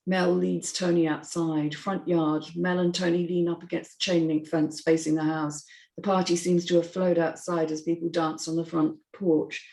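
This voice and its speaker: A woman's voice: lowish voice, level tone, normal speed, dark tone, reverberant, rough recording. A woman narrator reading screenplay stage directions in a scripted style.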